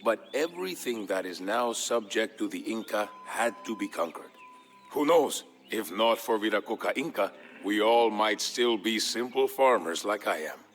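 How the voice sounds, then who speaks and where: A man's voice: on the low side, expressive, normal speed, fairly bright tone, soft background music. A man playing a proud, boastful old village storyteller in a narrated game tale. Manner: boastful, grandiose.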